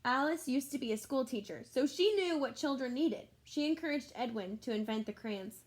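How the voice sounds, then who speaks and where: A woman's voice: sits high, animated, moderate pace. A woman schoolteacher reading a picture book aloud to children, expressively, with chatty explanatory asides to her young listeners.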